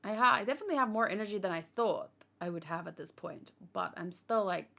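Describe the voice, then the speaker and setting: A woman's voice: mid-range voice, quick pace, creaky, fairly bright tone. Tired woman livestreamer, rambling casually. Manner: rambling, thinking aloud. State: tired after hours of streaming. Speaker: livestream host. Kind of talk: casual chat, fan theorizing to live viewers.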